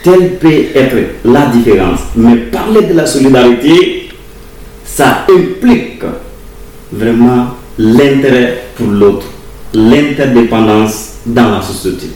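A man answering interview questions in a formal, deliberate way, repeating words as he explains. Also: medium pitch, expressive, a little mellow. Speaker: interviewee, university teacher of German and English. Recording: roomy distant recording with faint ambient noise.

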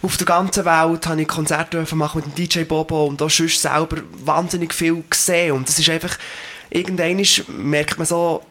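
A young man in his late twenties speaks with a Swiss German accent, his voice sitting a little higher than most men's. He answers thoughtfully and earnestly, pausing on fillers as he works through his ideas. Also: level tone, bright, forceful tone. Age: 20s. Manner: reflective, earnest, many fillers. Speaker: singer. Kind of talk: interview answers.

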